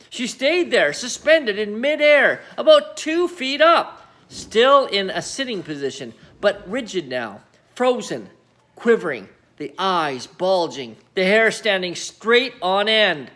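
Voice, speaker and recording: A man narrates a children's story in a bright, high voice. His delivery is very animated and dramatic, leaning on key phrases and pausing deliberately between them. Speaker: storyteller reading aloud.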